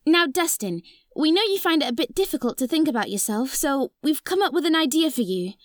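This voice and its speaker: High, encouraging young girl. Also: animated, brisk, no room sound, clean studio sound. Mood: encouraging, kind. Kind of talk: children's audio drama acting.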